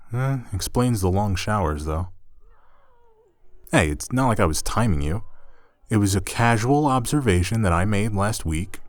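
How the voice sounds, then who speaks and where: A man's voice: on the low side, animated, moderate pace, no room sound, lightly acted. A man playing an easygoing, teasing, slightly flustered roommate in a conversational ASMR roleplay. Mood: casual teasing. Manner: casual, hesitant, self-correcting, dry teasing.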